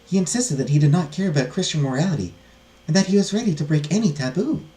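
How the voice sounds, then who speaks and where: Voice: medium pitch, lively intonation, fast, dark tone, reverberant, voice pushed up, lightly acted, poor audio. A performer voicing a young fugitive princess, earnest, weary and courteous, with formal storybook phrasing. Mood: sorrowful.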